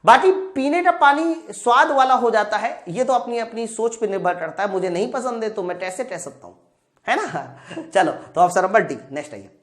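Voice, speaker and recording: A woman teaches an exam-prep class in a high, very lively voice. She talks straight to her students, repeats key points and often poses a question before answering it herself. Her pitch swings widely as she explains. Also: normal speed. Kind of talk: live lesson working through practice questions.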